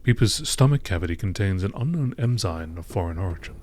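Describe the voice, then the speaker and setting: A man with a low-pitched voice delivers scripted narration in the manner of a nature documentary. His reading is measured and clinical, keeping a matter-of-fact tone throughout. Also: lively intonation, a little mellow, a little hiss or hum. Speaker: narrator reading game lore entries.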